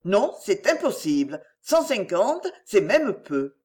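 A woman's voice: medium pitch, big pitch swings, slow pace, darkish tone. A woman voicing a self-pitying, scheming middle-aged mother in an audiobook, plaintive, melodramatic and wheedling. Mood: firm, calculating. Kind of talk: acted audiobook dialogue.

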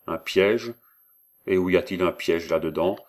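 A man voicing a domineering master goldsmith in an audiobook, commanding and measured in his threats. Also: deep voice, natural voice. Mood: menacing.

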